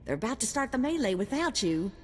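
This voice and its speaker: An actress playing an excitable, brash young female sidekick in a video game, eager in her delivery. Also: fairly high pitch, fast talker. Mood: excited. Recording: noisy ambience, long reverb.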